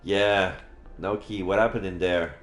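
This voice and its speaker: Low-voiced male streamer in an echoey room. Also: steady tone, a little slow, a bit bright, soft background music. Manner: casual, reacting as he reads. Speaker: live streamer. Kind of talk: reading a visual novel aloud with commentary.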